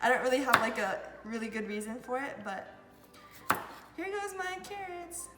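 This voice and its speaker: A woman's voice: high voice, big pitch swings, normal speed. A woman talking casually through a cooking tutorial in a kitchen, chatty and loose with lots of fillers. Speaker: cooking vlogger. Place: home kitchen. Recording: echoey room with background music.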